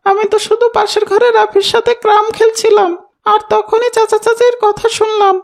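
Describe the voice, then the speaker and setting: A woman's voice: extremely high pitch, flat delivery, moderate pace, creaky. A woman voicing an obedient, eager young village boy in a cartoon, childlike and earnest as the child talks to parents. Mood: protesting. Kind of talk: cartoon voice acting.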